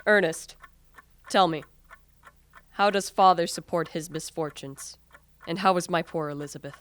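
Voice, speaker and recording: A woman's voice: on the low side, moderate pace, a little hiss or hum, close to an everyday voice. A woman playing an anguished, guilt-ridden young man in a radio play, earnest and formally dramatic. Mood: troubled.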